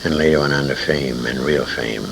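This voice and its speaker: An older man reminiscing in an interview, telling anecdotes in a rambling, halting way. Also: very deep voice, gravelly. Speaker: interviewee, radio veteran. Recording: noisy amateur 1980s convention interview recording.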